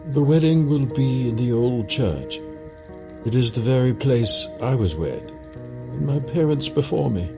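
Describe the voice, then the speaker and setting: An elderly patriarch, doting and stately, in a period audio drama. His mid-pitched voice is formal, with music clearly playing behind it. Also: lively intonation, measured pace. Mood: doting, proud.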